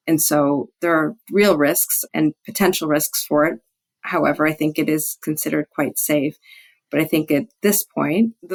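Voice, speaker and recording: A woman physician explaining things as an interview guest, informal and measured in how they qualify each point. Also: sits low, gravelly, husky. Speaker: physician guest, pain specialist. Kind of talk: conversational expert explanation.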